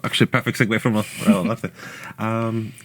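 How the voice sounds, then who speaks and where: A man's voice: on the low side, level tone, brisk, a bit bright, slight background noise. A man who grew up in Australia, an engineering manager answering panel questions in a chatty way, correcting himself often and using many fillers.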